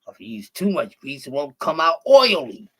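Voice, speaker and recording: A man's voice: a little high, huge pitch swings, normal speed, sharp tone, breathy edge. Rambling, cussing Philly man. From Philadelphia. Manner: profane, rambling, with many restarts. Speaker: stream guest. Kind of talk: casual chat.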